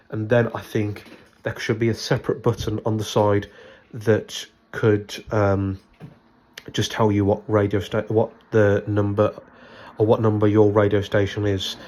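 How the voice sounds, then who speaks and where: A man giving a hands-on spoken product review in a hesitant, repetitive, measured but opinionated way. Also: on the low side, darkish tone. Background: background noise and a radio.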